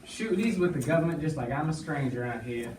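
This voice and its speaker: A man's voice: a little high, lively intonation, brisk, natural voice. A man playing an easygoing young cowboy hero in an amateur Western stage play, with folksy delivery. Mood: confident. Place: small indoor stage, recorded from audience. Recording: echoey stage, distant mic, noisy.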